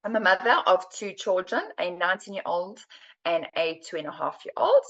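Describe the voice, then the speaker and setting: A woman in her forties with a South African accent, a podcast guest telling her personal story earnestly, often pausing to search for words. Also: on the low side, animated, fairly bright tone, lo-fi sound.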